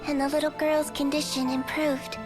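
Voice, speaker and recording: A woman voicing a small, gentle female Melusine doctor in a video game, telling a story softly. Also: high-pitched, flat delivery, normal speed, natural voice. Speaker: game character. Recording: game audio with clear music behind.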